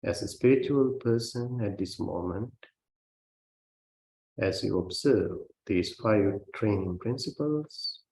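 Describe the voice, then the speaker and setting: A man who is a Buddhist meditation teacher, guiding a meditation in a gentle, measured way with long pauses between phrases. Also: lowish voice, creaky. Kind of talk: guided meditation. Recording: roomy, reverberant sound.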